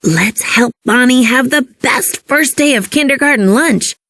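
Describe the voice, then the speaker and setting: A woman voicing a small, anxious and devoted handmade toy in a children's read-along, earnest and excitable. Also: high-pitched, measured pace, dry recording, studio quality. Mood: eager.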